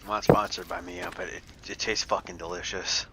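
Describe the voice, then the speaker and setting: A man's voice: deep voice, flat delivery, a little fast, very noisy. A young man casually commenting on a game he is playing, rambling and swearing, laughing often. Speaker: gameplay commentator. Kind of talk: casual let's play commentary.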